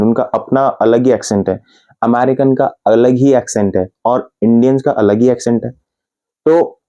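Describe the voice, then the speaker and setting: A man with an Indian accent gives an English lesson, spelling out example sentences one word at a time. His voice is mellow and warm, sitting at an ordinary middle pitch. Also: low-quality recording. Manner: explains patiently, repeating example sentences slowly word by word. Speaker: English teacher. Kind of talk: Hindi-language English grammar lesson.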